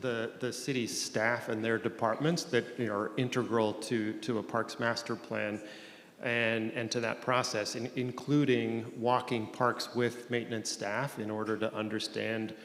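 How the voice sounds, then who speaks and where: Man, conference panelist, gravelly voice, halting restarts, echoey hall. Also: mid-range voice, level tone, a bit bright. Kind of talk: panel discussion. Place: conference room. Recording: echoey hall, faint noise.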